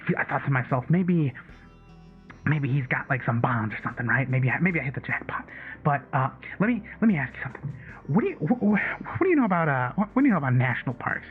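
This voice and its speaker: A man's voice: mid-range voice, very animated, fast, natural voice. An actor playing an agitated, obsessive conspiracy caller in a radio drama, stammering, repeating himself and swearing as he rambles. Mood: rambling, casual. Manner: stammering, repetitive, profane rambling. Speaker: caller on a late-night radio show. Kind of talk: audio drama acted as casual talk radio. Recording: tinny phone-like line with background music.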